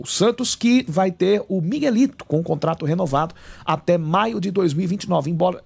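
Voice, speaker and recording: A man's voice: fairly high pitch, gravelly, a little mellow, slightly rough. A man sports reporter giving an unscripted injury and contract update, correcting himself as he goes. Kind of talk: news report.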